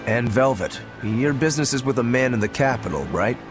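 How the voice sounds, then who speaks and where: A laid-back adventurer, voiced by a man speaks at a middling pitch. The game delivery is wry and easygoing, with clear music behind it. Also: animated, fairly quick, close to an everyday voice. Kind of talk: animated game voice acting.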